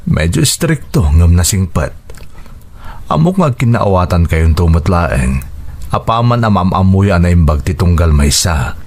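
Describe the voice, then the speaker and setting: A man's voice: sits low, sing-song, unhurried, darkish tone, full character voice. A male actor with an Ilocano accent playing an earnest, devoted widowed father and reassuring son in a radio drama. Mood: tender, serious. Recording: radio drama with background music.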